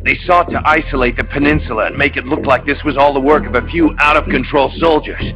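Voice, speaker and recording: A man's voice: medium pitch, fairly even intonation, quick pace, lightly acted. An actor playing a bitter, defiant male Soviet soldier, speaking in impassioned speeches in a video game cutscene. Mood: defiant, fervent. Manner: impassioned, declamatory. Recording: dull lo-fi game capture. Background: loud music.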